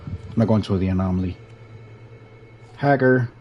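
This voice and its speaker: A man's voice: lowish voice, moderate pace, highs cut off, a little hiss or hum. A young man from Puerto Rico with a Puerto Rican Spanish accent, a native Spanish speaker chatting easily through his gameplay commentary and often restarting his sentences. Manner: easygoing, rambling, restarts sentences. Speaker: streamer.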